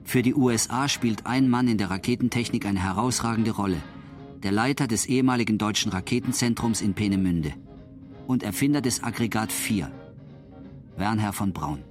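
A man's voice: fairly low pitch, normal speed, faint music behind. A man reading scripted documentary narration for the radio.

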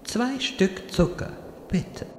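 A man playing a solemn but politely chatty captive wizard in a comic fantasy audio drama, with measured deadpan delivery. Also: sits high. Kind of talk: German audio drama parody. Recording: echoey hall reverb, faint noise.

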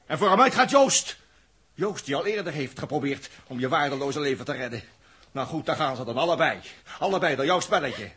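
An actor playing a bitter spaceman who taunts and accuses in a husky, raspy voice, in a 1960s radio drama. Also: fairly high pitch, expressive, brisk, fairly bright tone. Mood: savage taunting. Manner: taunting, repeats phrases for menace.

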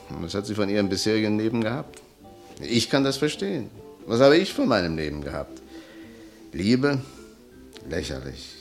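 A blunt man with a fake name, low voice, lively delivery. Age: older. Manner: brusque, then musing and confiding. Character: brusque older man with a false name, confiding. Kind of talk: radio play acting.

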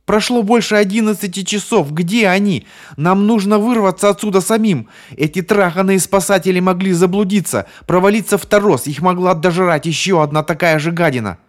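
A man's voice: sits high, fairly quick, hardly any pauses, voice pushed up, close to an everyday voice. A man voicing a hysterical young woman who screams and swears in panic. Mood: hysterical screaming.

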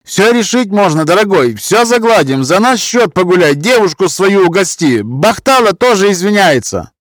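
A man's voice: sits high, big pitch swings, moderate pace, dry recording, voice pushed up. A man voicing a drunken middle-aged man with a Romani accent, pushy and wheedling, in an audiobook story. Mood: apologetic, wheedling. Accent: Romani-accented Russian.

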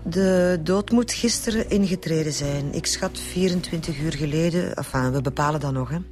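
Brisk Flemish woman doctor played by an actress. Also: deep voice, darkish tone, soft background music, lightly acted. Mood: clinical. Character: brisk, teasing female forensic doctor. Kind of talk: radio drama acting.

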